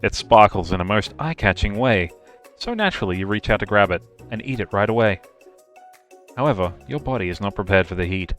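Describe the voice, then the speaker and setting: Casting: male streamer, lowish voice, playful theatrical game narrator over faint music. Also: animated, a little fast, a bit bright. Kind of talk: game narration read aloud on livestream.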